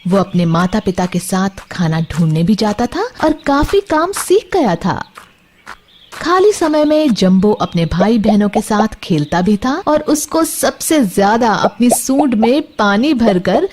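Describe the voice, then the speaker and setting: A woman's voice: a little high, sing-song, a little slow, a little mellow, a little hiss or hum. A woman narrating a scripted children's bedtime story, expressively addressing young listeners. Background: music.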